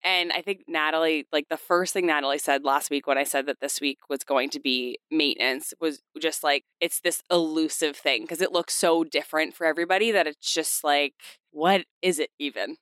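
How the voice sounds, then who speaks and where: A woman hosting a podcast in casual chat, a bit nasal from a cold, talkative and quick to laugh. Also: sits low, steady tone, fairly bright tone, clean tone, dry recording. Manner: chatty, laughs often, fast bursts. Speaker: podcast host.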